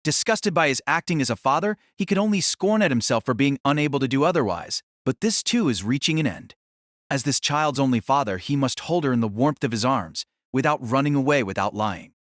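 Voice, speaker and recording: A man's voice: mid-range voice, animated, fairly quick, fairly bright tone, no echo, studio quality. A man narrating a scripted story in a dramatic audiobook style.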